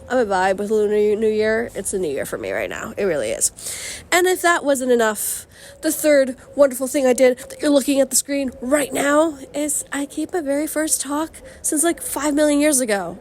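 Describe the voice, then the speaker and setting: A young woman with a high voice talks through her own vlog in a bubbly, slangy way. Her voice sounds slightly strained as it recovers, and she swoops from excited squeals to low asides. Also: a little hiss or hum. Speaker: vlogger and podcast host. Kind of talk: casual upbeat vlog talk.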